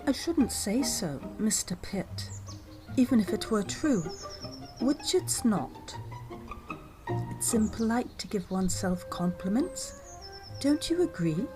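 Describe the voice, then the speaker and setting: A woman voicing a proper, courteous young lady who patiently corrects and gently turns down a suitor, in an old-time radio drama. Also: medium pitch, sing-song, music in the background. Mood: prim. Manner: prim and courteous, measured and correcting.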